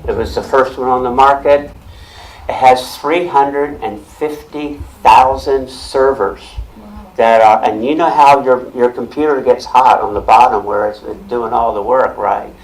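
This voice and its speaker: Loud plain-spoken man lecturing a room. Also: medium pitch, steady tone, measured pace, rough recording. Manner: loud, plain-spoken, repeats and restarts words. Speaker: guest speaker on technology. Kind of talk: explaining to a club audience. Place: meeting room with audience. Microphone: handheld or stand mic with speaker system.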